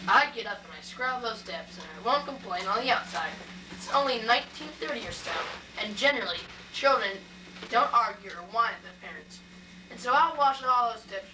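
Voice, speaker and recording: A woman playing a high-voiced, dutiful, resentful girl. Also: lightly acted. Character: dutiful young girl, quietly resentful of chores. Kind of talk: amateur puppet show monologue. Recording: echoey room, distant mic, noisy.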